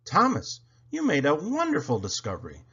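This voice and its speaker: A man's voice: on the high side, wildly swooping pitch, moderate pace, pushed and bright, slightly rough, lo-fi sound, voice pushed up, exaggerated voice. A man voicing an authoritative, approving railway boss in a children's storybook, explaining and proclaiming. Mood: proud, informative. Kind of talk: children's storybook character reading.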